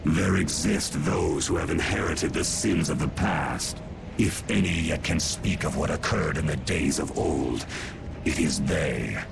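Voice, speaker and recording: A man voicing an ancient, stern, colossal creature in a fantasy game, speaking in slow, solemn, archaic pronouncements. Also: very deep voice, creaky, full character voice. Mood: grave, ominous. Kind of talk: fantasy video game voice acting. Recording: heavy noise under the voice.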